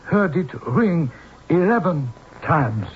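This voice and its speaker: An actor playing a grave, courteous Japanese businessman in a radio drama, speaking halting, formal English with a Japanese accent. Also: a little high, big pitch swings. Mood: grave, polite. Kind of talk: old-time radio drama acting. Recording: tinny, noisy old radio broadcast.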